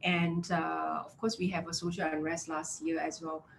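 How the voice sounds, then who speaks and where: A woman's voice: deep voice, level tone, normal speed, vocal fry, a little hiss or hum. A woman in her thirties from Malaysia with a Malaysian English accent, presenting a career webinar as an HR consultant, chatty and full of "yeah" and "right?". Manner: chatty, filler-heavy, affirming with "yeah" and "right?".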